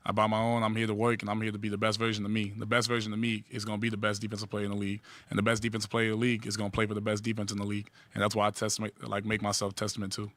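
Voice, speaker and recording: Rapid, bright-voiced confident athlete. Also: male voice, lowish voice, monotone, no echo. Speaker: pro football player. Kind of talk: press interview answer. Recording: clip played from a press conference.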